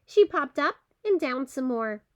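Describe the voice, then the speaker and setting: A woman narrating a children's story aloud in an expressive storytelling style. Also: high voice, a little slow.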